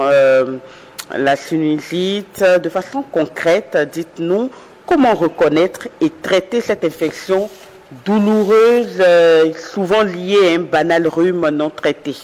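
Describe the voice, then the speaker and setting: A woman's voice: lowish voice, darkish tone, long reverb tail. A woman interviewing a doctor, asking long questions with many hesitations. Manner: hesitant, with frequent drawn-out fillers.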